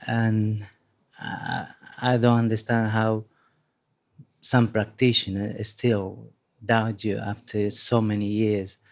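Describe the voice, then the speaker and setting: Low, flat-voiced man. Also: vocal fry. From Spain. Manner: earnest, halting, restarts often. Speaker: audience member sharing a testimony.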